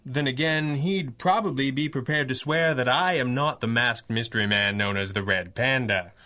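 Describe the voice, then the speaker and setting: Masked radio-serial hero male actor: commanding, clever, wordy and dry, with a crisp forceful edge. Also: mid-range voice, normal speed, close to an everyday voice. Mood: dry, thoughtful. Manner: formal, wordy, dryly understated. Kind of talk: 1930s-style radio adventure drama acting.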